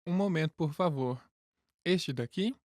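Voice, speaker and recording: A man's voice: fairly high pitch, unhurried, dry recording, studio quality, natural voice. A man voicing a polite, helpful bookshop clerk in a scripted listening dialogue for learners. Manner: polite, brief replies.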